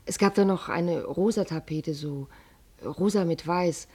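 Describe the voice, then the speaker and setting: A devoted, worried working-class mother in a radio play. Her voice is fairly low, very mellow and husky. She speaks tenderly, with hesitations and halting phrases. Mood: tender, hesitant. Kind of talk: German radio play acting.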